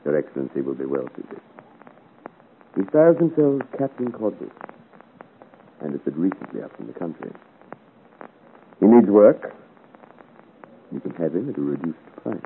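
A man's voice: sits low, big pitch swings, normal speed, pauses often, phone-quality sound. An actor playing an obsequious, efficient servant of a nobleman, speaking formally and deferentially in 1950s radio serial style. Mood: eager to please. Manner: deferential, smooth-worded, formal. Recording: thin, noisy old radio recording.

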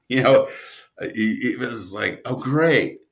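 A man speaking as an interview guest, a writer telling stories conversationally in an animated but halting manner. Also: mid-range voice, noisy background. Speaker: guest, audio drama writer and producer.

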